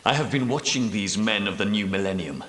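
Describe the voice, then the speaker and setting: An actor playing the solemn, pious leader of ancient Grail knights in a video game, speaking in a grave, portentous, formal manner. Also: male voice, on the low side, animated, a bit bright. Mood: brooding. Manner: grave, portentous, measured, preaching. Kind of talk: scripted video game dialogue, archaic formal speech. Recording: echoey hall with long reverb.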